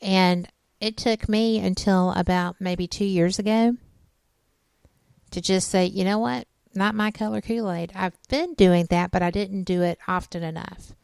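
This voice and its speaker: A woman's voice: medium pitch, fairly even intonation, measured pace, vocal fry, a little mellow, smooth, no echo. A woman in her late fifties, a radio host chatting frankly and casually with a co-host. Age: 50s. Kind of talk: casual chat.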